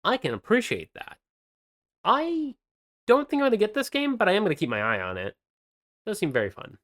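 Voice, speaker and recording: A man's voice: sits high, wildly swooping pitch, brisk, a bit bright. A man co-hosting a podcast, chatting casually about games and rambling with lots of fillers and restarts. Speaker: podcast co-host presenting game news.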